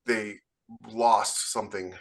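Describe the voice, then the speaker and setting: A man co-hosting a podcast in casual panel chat, telling things in an animated, rambling way. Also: on the low side, slow pace. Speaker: podcast co-host, military science fiction author.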